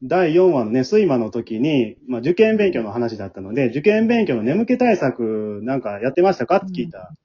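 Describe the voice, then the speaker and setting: Lively Kansai man hosting, lo-fi audio. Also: mid-range voice, moderate pace, few pauses. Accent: Kansai Japanese. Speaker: host of an amateur radio drama's bonus talk. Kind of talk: casual hosted chat. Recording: dull, lo-fi audio.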